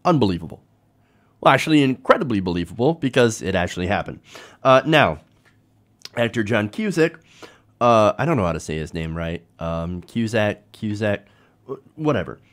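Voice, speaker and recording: A man's voice: fairly low pitch, animated, moderate pace, pauses often, fairly bright tone. A man hosting a political commentary show, talking informally to viewers in a conversational way with rhetorical tag questions.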